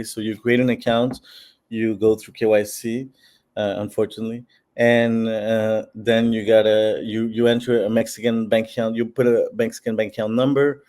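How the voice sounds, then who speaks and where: Mellow-voiced man explaining at length. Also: lowish voice. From Peru. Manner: explanatory, many fillers and restarts. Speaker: founder of a bitcoin platform. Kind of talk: interview answers.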